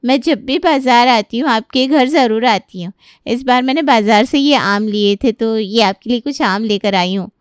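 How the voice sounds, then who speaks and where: Casting: actress as pushy, chatty cartoon neighbour woman, highish and crisp. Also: moderate pace, no room sound, studio quality, close to an everyday voice. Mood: grateful. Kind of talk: Hindi cartoon story character voice.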